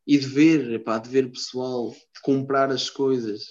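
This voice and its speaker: A Lisbon-accented man in loose, rambling chat, heavy with slang and swearing. His voice sits at an ordinary pitch. The recording is dull and lo-fi. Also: steady tone, a little slow, darkish tone. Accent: Lisbon Portuguese. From Lisbon, Portugal. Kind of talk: casual chat. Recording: dull lo-fi sound.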